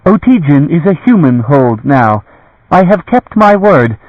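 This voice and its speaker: A commanding noblewoman, still and clear, giving orders without warmth, as a male narrator puts her on with a raised voice. Her lines come across a touch louder than the narration, and the audio is dull and dry. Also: fairly high pitch, sing-song, a little slow, no room sound, pitched above the performer's own voice. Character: cold commanding tall woman warrior-lady.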